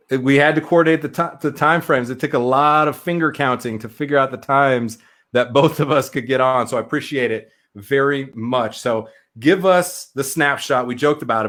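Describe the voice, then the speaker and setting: A man's voice: mid-range voice, fairly bright tone. Upbeat male podcast host. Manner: upbeat, enthusiastic interviewer. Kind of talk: casual interview over video call.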